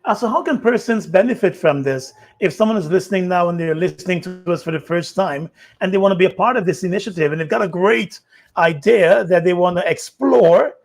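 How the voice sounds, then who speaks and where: A middle-aged man hosting a live online panel, relaxed and hesitant with many fillers. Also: sits high, fairly quick, creaky. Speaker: host. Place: indoors in front of a green screen.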